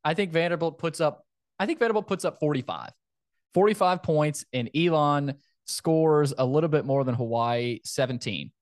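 A man's voice: on the high side, moderate pace, dry recording, clean studio sound. A man hosting a sports podcast in casual football chat, loose and conversational, often repeating his phrases.

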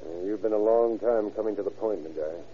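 Hard-boiled American narcotics detective played by a man, low pitch, terse and cool, noisy old radio drama sound. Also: brisk, lightly acted. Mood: terse, businesslike. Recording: muffled, noisy vintage radio recording.

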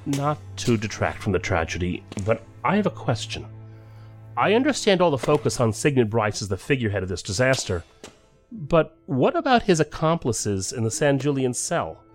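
An actor playing an inquisitive federal agent in a science fiction audio drama, with a questioning, wordy, expressive manner. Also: male voice, mid-range voice, moderate pace, faint music behind, natural voice. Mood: inquiring. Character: inquisitive, slightly indignant male federal agent.

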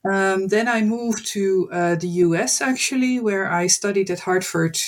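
A woman from the Netherlands answers an interviewer's questions about her background. She speaks hesitantly, pausing and restarting as she searches for words. Her voice sits at a middle pitch. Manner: hesitant, with many fillers and restarts. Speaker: guest scholar.

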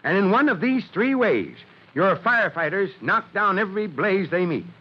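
An actor playing a steady, fatherly American fire chief, measured and commanding, in an old radio drama. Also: sits high. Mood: friendly, instructive. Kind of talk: 1940s-50s radio drama acting. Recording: muffled old radio recording.

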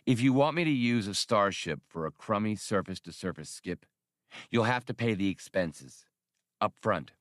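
A man's voice: on the low side, lively intonation, moderate pace, fairly bright tone, no echo, clean studio sound. A man voicing a brash young starship pilot with a short temper. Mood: curt.

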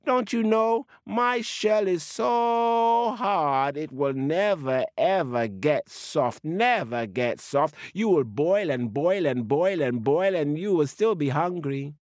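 A man voicing a small, cheeky baby turtle in a high, teasing voice in a storybook tale. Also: takes it slowly, few pauses, dry recording, clean studio sound. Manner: high-pitched, teasing, pleading.

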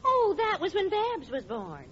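A dull, slightly hissy vintage radio recording carries an exasperated sitcom wife and mother. Her high voice is expressive, scolding and full of disbelief. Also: a little slow, exaggerated voice. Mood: dry. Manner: scolding, incredulous. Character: exasperated, sensible, long-suffering housewife and mother. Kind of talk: 1940s radio sitcom acting. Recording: dull, faintly noisy old radio recording.

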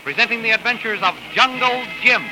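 High, rapid-fire old-time radio announcer man. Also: over loud music, low-quality recording. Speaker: announcer, narrator. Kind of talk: 1930s radio serial narration, dramatic.

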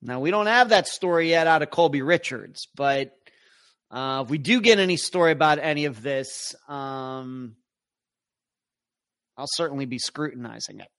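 Guy hosting a live podcast, kinda high voice, rambles through listener comments. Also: normal speed, a bit bright. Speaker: podcast host.

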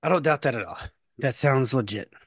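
A man's voice: medium pitch, lively intonation, a little fast, fairly bright tone, husky, no room sound, studio quality. A man co-hosting a casual sports podcast, rambling and repeating himself as he talks. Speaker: podcast co-host.

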